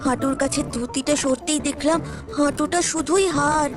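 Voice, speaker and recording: A woman voicing a frightened, wary village child in an animated horror cartoon, anxious and expressive. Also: high-pitched, normal speed, close to an everyday voice. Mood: terrified. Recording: music behind the voice. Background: music.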